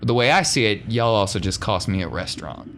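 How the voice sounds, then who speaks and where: Guy doing a smooth-mannered crime boss, space western rpg, folksy but kinda menacing, bit of noise. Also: lowish voice, lively intonation, a little fast. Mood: politely menacing. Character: genial, shrewd male crime lord in western duster.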